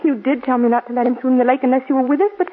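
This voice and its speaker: Possessive young wife: highish husky voice, cold yet desperate melodrama, 1940s radio play. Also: female voice, fairly even intonation, rapid-fire. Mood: wounded, pleading. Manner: melodramatic, intense. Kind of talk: 1940s radio drama acting. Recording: muffled, scratchy 1940s radio broadcast.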